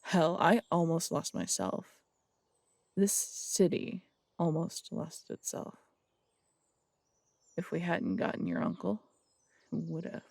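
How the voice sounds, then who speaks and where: A man's voice: a little high, sing-song, slow pace, vocal fry, pauses often, dry recording, full character voice. A man playing a stern male detective who runs the morgue, blunt and warning in an audio drama.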